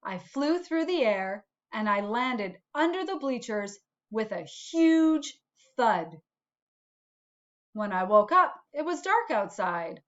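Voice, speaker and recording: A woman voicing a self-declared bad little sunflower seed in a storybook, confessing with deliberate dramatic phrasing. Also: a little high, dull audio.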